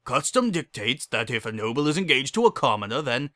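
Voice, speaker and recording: Man playing a formal, bright-voiced noble unicorn father. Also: medium pitch, very animated, no room sound, clean studio sound. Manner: formal, measured, matter-of-fact. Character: stuffy, composed middle-aged noble unicorn father.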